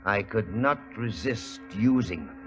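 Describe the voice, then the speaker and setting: An actor playing an imperious, wealthy industrialist in an old radio mystery, speaking formally with coldly courteous menace. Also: male voice, on the low side, expressive, a little slow, loud music behind the voice. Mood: menacing. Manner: smooth-talking, formal, menacing. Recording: dull, hissy 1940s radio recording.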